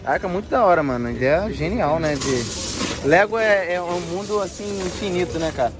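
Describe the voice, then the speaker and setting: A man with a Carioca accent films himself at an outdoor flea market on his phone. His voice sits slightly high, and he talks in eager, slangy bursts. He keeps exclaiming over what he finds. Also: normal speed, background noise. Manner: excited, slangy, exclaims often. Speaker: vlogger. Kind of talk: casual handheld market vlog. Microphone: phone camera held in hand.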